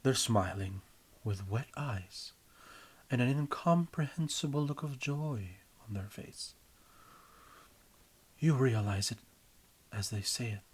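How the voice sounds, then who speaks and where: A man narrating a scripted story in a theatrical, emphatic way, with deliberate phrasing and a husky voice. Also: medium pitch, lively intonation. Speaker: writer and reader of own story. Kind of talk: dramatic scripted story narration in second person.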